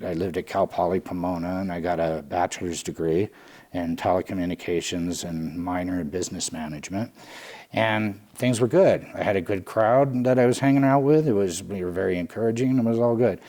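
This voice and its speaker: A man in his late forties with a very low, deep voice tells his life story openly. He halts often, restarting phrases and leaning on fillers as he gathers his thoughts. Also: dark tone. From California. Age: late 40s. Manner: halting, with frequent restarts and fillers. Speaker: guest, Salvation Army lieutenant. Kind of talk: candid personal storytelling.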